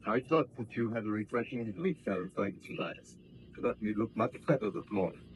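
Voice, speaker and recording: Man playing a courteous, low-voiced captain, old radio. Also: fairly quick, lightly acted. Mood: sympathetic. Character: kindly, courteous ship's captain. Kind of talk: 1930s radio drama acting. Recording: dull lo-fi old radio broadcast, faint noise.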